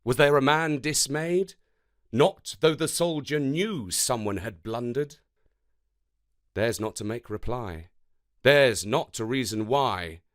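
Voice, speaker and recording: A man narrating scripted history and verse for children in a measured, dramatic way. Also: medium pitch. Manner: measured, expressive, dramatic delivery. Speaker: storyteller narrator.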